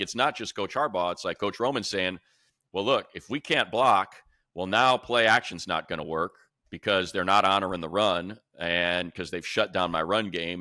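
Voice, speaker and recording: Bright, forceful low-voiced American man. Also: dry recording, studio quality. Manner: emphatic, conversational, builds points by repetition. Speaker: sports show host, play-by-play announcer. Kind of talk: casual sports talk and analysis.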